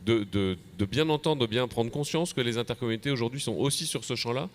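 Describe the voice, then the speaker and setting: A man with a French accent from France gives a semi-prepared conference presentation as an association official, halting and repeating words as he goes. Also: medium pitch. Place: convention plenary session.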